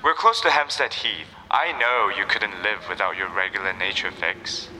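Very bright, urbane man, reverberant. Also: on the low side, moderate pace, a little hiss or hum. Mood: friendly. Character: cultured, comfortably-off retro nerd, a kindly old friend. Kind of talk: audio drama dialogue. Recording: long reverberant hall-like echo.

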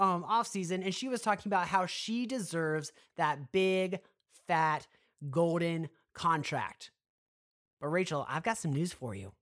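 A man's voice: sits high, a bit bright. A man co-hosting a sports talk show, giving commentary in an emphatic way and stretching key words.

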